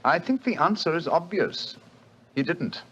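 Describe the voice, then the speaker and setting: An actor playing a confident, dryly witty detective in a 1940s radio drama, with muffled old broadcast sound. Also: male voice, fairly high pitch, expressive, unhurried, a bit bright, slight background noise. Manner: crisp, wry, confident. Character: brisk, dryly witty detective, middle-aged man.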